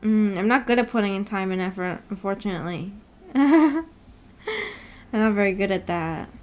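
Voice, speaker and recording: A woman's voice: medium pitch, expressive, moderate pace, darkish tone. A woman chatting casually on a livestream and reading viewer comments aloud in a playful, giggly manner. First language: English. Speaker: streamer hosting a book club. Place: indoor livestream with animated avatar.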